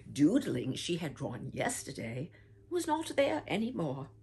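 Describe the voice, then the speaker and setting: An older woman reading a children's picture book aloud with theatrical whispers and swooping pitch, talking warmly to young listeners as "my dears". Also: wildly swooping pitch, unhurried, husky. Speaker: storybook reader. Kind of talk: expressive read-aloud for children. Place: home room with bookshelf, indoors.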